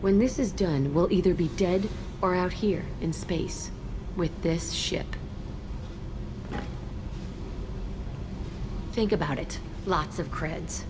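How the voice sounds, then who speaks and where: A woman's voice: fairly low pitch, a little slow, noisy background, close to an everyday voice. A woman playing a tough, cynical fugitive criminal in a video game, speaking in blunt, clipped phrases.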